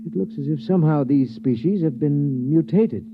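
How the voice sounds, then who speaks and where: A man acting in an old radio drama as an earnest veteran scientist, a professor who speaks haltingly and with wonder. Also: fairly high pitch, phone-quality sound, close to an everyday voice. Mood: fascinated, amazed. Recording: thin noisy old radio recording with hum.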